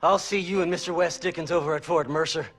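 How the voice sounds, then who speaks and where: An actor playing a crazed, greedy American treasure hunter in a western game, manic and rambling with a creaky, sharp voice. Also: male voice, on the high side, fairly even intonation, quick pace, close to an everyday voice. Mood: resigned. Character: crazed, greedy, ragged male treasure hunter and grave robber. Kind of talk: animated western game acting.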